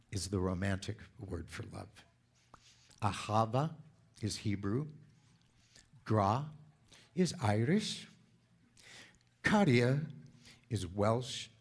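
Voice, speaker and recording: Deep, husky man in his sixties. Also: expressive, drawn-out words, pauses often. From California. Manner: chatty, playful storyteller who asks the audience for input. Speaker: speaker at a spiritual center. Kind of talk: casual talk to a congregation.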